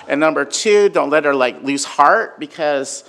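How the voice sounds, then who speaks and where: A man giving an informal sermon with a personal story, talking conversationally and loudly, with many fillers and frequent "right?" check-ins. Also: fairly high pitch, unhurried, creaky. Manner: conversational, filler-heavy, asks "right?" often; says he has a really loud voice. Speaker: preacher.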